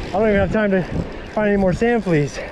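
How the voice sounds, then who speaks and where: A man talking casually to viewers in an excitable, folksy, slangy way, like a fishing vlogger, recorded outdoors on an action camera. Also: high voice, fast talker. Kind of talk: casual fishing vlog commentary. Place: outdoors on an open sea beach. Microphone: body-worn action camera. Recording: windy beach, surf and wind noise. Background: wind and breaking waves.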